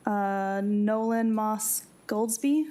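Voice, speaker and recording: A woman's voice: medium pitch, extremely slow, warm tone, a little hiss or hum. A woman working as a city clerk, reading formal scripted announcements in an official, procedural way. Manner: procedural, official read-aloud delivery.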